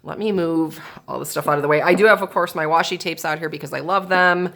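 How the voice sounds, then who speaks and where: Woman, lowish voice, a bit stuffy and sinusy, casual craft chat, thinks out loud and changes her mind. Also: fast, gravelly. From American Midwest. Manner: thinking aloud, indecisive, chatty with viewers. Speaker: planner and journal crafter. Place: indoors at a craft table. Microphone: camera over the table.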